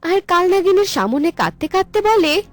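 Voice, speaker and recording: Female fairy-tale narrator for cartoons: very high voice, scripted storytelling, light music underneath. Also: very animated, moderate pace.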